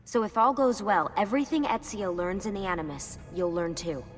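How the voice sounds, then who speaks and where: A woman playing a calm, protective young agent in a video game, speaking in a composed, reassuring way. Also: mid-range voice, fairly quick, lightly acted. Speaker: actor voicing a game character. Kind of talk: naturalistic video game cutscene acting. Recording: game dialogue with reverb under music.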